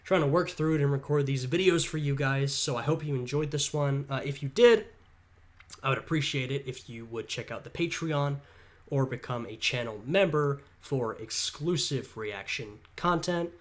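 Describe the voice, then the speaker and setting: A man with a stuffed-up, congested voice talks casually as he reacts to a history video. He thinks aloud, hedging and musing over each point at an ordinary, middling pitch. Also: moderate pace.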